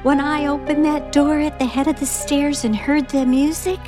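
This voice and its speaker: A woman acting a frightened, unhinged woman in a radio play, halting and dramatic, repeating her phrases. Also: high voice, little pitch movement, lightly acted. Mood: giddy, unhinged triumph. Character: frightened, unhinged woman, now giddy and triumphant. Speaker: actor. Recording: music behind the voice. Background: music.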